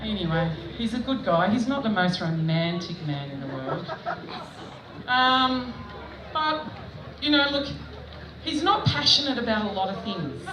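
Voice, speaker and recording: A woman's voice: medium pitch, very animated, measured pace, pauses often. Chatty Australian woman comic, echoey stage. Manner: chatty, self-mocking storytelling with frequent laughs. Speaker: stand-up comedian. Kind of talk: stand-up comedy set. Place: outdoor festival stage. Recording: echoey, distant mic with background noise.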